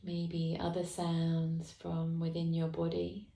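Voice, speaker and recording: A woman meditation teacher guides listeners with a soft, unhurried voice. Her delivery is very slow and low-pitched, with a mellow, slightly gravelly texture. The recording has a roomy echo around her. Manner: slow, soft, calm guidance with long pauses.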